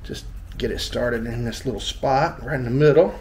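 Casual DIY vlog host: American male, fifties, folksy and jokey, mid-pitched, recorded in a reverberant room with background noise. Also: moderate pace, darkish tone. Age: 50s. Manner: folksy, joking, thinking aloud. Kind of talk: casual chat while demonstrating DIY. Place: small tiled living room indoors. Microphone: handheld action camera, varying distance.

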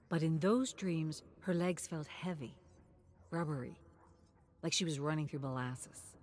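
Slow, suspenseful woman storyteller, lowish voice. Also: a little mellow, slight background noise. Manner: suspenseful, theatrical, deliberate phrasing. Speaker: narrator. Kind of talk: dramatic scripted story narration.

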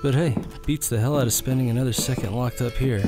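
A man playing an easygoing, wry freed convict in an audio drama, laid-back and gravelly. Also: medium pitch, fast talker, close to an everyday voice. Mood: wry, relaxed. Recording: music under the voice.

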